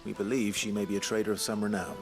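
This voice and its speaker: An actor playing a calm, resolute outlawed traveler in a video game, speaking in a polite, terse and measured way. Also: male voice, fairly low pitch, close to an everyday voice. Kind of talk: video game cutscene acting. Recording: music under the dialogue.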